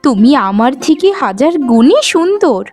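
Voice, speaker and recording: A woman playing a kind young forest maiden in a cartoon fairy tale, with a tender, expressive way of talking. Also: high voice, moderate pace, soft background music. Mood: tender, happy.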